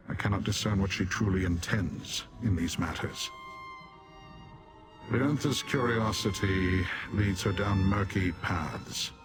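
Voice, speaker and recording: A man voicing a proud, stern Dremora demon warrior in a fantasy video game, speaking formally and with measured disdain. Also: deep voice. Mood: guarded, cold. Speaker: non-player character in fantasy game. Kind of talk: scripted video game dialogue.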